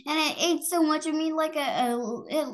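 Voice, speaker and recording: A woman voicing an excitable, greedy little girl in a storybook, exclaiming and repeating herself insistently. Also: sits high, normal speed, pitched above the performer's own voice, lightly acted. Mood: upset, whining.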